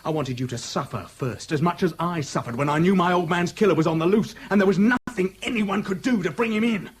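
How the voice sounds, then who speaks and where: A man's voice: high voice, fairly quick, slight background noise, close to an everyday voice. An actor playing a vengeful, menacing British man in a radio drama, telling things in clipped, sardonic phrases. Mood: bitter, taunting. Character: vengeful, taunting man bent on avenging his father. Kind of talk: BBC radio drama acting.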